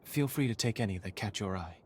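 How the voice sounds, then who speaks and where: An actor playing a courteous, refined male leader in a video game, with formal, measured, polite diction. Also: on the low side, animated, slight background noise, natural voice. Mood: gracious, earnest. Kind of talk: video game cutscene acting.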